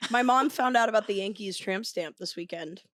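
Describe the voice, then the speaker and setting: A young woman co-hosting a podcast, telling animated stories and laughing often in casual chat. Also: medium pitch, a little fast, no echo. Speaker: podcast co-host.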